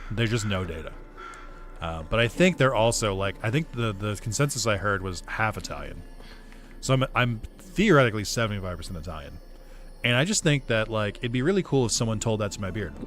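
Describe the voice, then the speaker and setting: A man's voice: on the low side, big pitch swings, a little fast, a bit bright. A young man from the northeastern United States, streaming a game and chatting in a rambling, joking way with some swearing. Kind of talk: casual gaming chat. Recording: game music behind voice.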